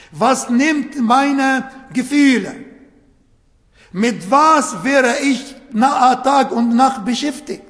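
Slow high-voiced non-native preacher in a hall. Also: male voice. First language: not German. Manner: non-native German with grammar slips, slow emphatic preaching. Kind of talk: sermon. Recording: long hall reverb.

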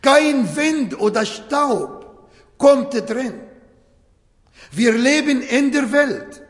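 A man whose first language is Arabic, preaching a sermon in slow, emphatic phrases with non-native grammar. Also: very high-pitched, lively intonation. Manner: slow, emphatic phrasing with short broken clauses. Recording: echoey hall.

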